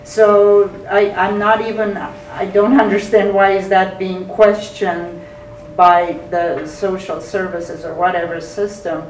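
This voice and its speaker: Slow, emphatic woman, distant and echoey. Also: medium pitch, level tone. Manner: emphatic, insistent, repeats herself. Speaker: audience member. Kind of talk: spontaneous comment from the audience. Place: lecture room audience, far from mic. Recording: distant, echoey room mic with noise.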